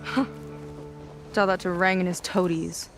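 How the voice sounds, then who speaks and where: Determined young naval officer, music behind. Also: female voice, on the low side, level tone, unhurried. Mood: earnest, resolved. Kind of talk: video game cutscene acting.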